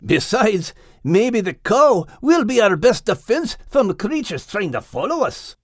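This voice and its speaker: High, cheeky terrier. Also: male voice, very animated, measured pace, no room sound, clean studio sound, full character voice. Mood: wry, joking. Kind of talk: audiobook character acting.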